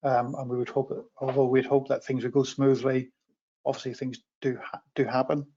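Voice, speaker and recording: Man, mid pitch, monotone, hesitant with fillers, muffled call audio. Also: fairly quick, slight background noise. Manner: hesitant, many ums and restarts. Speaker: council officer. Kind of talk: remote council meeting reply. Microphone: video call. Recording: dull lo-fi remote call audio.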